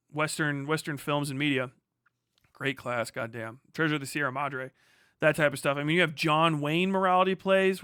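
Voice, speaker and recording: Bright-voiced man rambling on a livestream. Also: fairly high pitch, clear, resonant tone, no room sound, clean studio sound. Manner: opinionated, swears freely, digressive. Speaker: livestream host. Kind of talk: rambling livestream monologue on film and culture.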